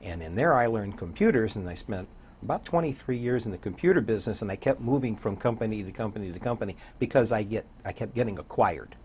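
An older man around seventy, a radio DJ chatting as an interview guest in a folksy, wry way with a husky voice. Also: fairly low pitch, animated, fast talker, darkish tone, a little hiss or hum. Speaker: guest, radio program director and DJ. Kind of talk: casual interview chat. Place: TV studio set, seated.

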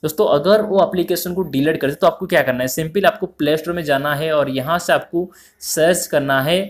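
Male tutorial host, slightly high voice, informal and repetitive step-by-step delivery. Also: brisk. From India. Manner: repetitive, step-by-step explaining, addressing viewers as friends. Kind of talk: informal phone app tutorial narration.

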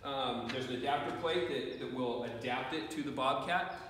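A man's voice: mid-range voice, moderate pace, fairly bright tone, a little hiss or hum. A man in his forties giving a rambling, plainspoken equipment review in a large farm shed, with lots of fillers and restarts. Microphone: camera at a distance. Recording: echoey shed, voice far from camera.

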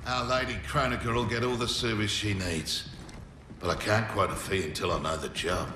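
A man's voice: on the low side, fairly even intonation, moderate pace, background noise, lightly acted. A man playing a shrewd, brawny criminal tech fixer in a video game, talking in a casual, businesslike way. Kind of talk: video game cutscene acting. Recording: echoing chamber with music behind.

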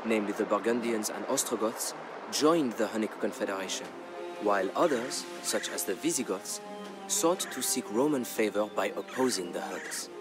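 A man playing a solemn storyteller in a strategy game, narrating war history in a measured, dramatic, deliberate way. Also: lowish voice, close to an everyday voice. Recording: narration over background music. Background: music.

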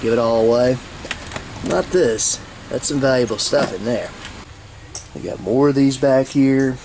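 A man giving a casual, folksy and excited walkthrough commentary, rambling as he goes like a vlogger. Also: mid-range voice, a little slow. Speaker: YouTube vlogger and reseller. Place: metal storage unit, handheld camera. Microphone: handheld camera mic. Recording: noisy handheld camera audio.

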